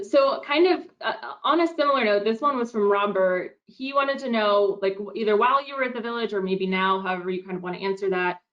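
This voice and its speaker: Woman moderating a Q&A over a dull call. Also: medium pitch. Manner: friendly, hesitant, with many ums. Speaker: webinar moderator. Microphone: video call.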